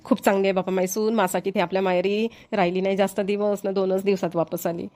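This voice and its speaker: Woman acting a quick-talking kindly old mother-in-law. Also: medium pitch, level tone, mellow, natural voice. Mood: fond, grateful. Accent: Varhadi Marathi. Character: kindly, grateful elderly village mother-in-law. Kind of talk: amateur cartoon comedy voice acting.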